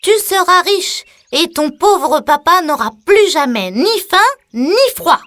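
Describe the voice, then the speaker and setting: Woman doing a sly high-voiced swindling fox. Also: expressive, fairly bright tone, dry recording, exaggerated voice. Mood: sly, coaxing. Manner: coaxing, drawn-out counting. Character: sly swindling fox bandit, falsely friendly.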